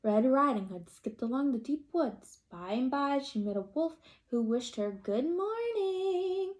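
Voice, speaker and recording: A woman's voice: high-pitched, unhurried. A woman reading a picture book aloud expressively, with questioning asides to young listeners. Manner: expressive, addresses children with questions. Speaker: read-aloud storyteller.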